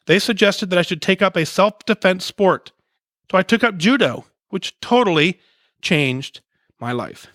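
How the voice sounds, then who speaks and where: A man with a high voice reads a listener's letter on his podcast, earnest and measured, his sentence endings falling soft. The sound is dry and close, with no room around it. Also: animated, no room sound. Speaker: podcast host. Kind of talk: reading a listener's letter aloud.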